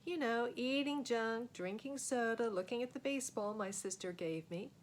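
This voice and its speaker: A woman reading a children's story aloud in an expressive scripted narration. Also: fairly high pitch, normal speed, slight background noise. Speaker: storybook reader.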